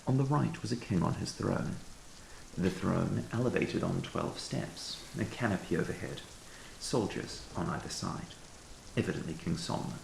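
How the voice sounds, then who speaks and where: A man reading a literary ghost story as a narrator, in a measured, formal storytelling manner. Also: low voice, expressive, creaky, darkish tone. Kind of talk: scripted literary audiobook narration. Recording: echoey room with faint hiss.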